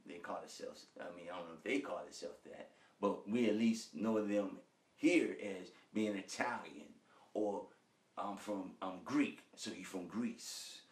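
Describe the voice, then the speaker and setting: A middle-aged man talks casually in street slang, calling his viewers family. His voice sits a bit low. The room echoes, and he is some distance from the mic. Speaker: author and host. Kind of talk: casual monologue book review.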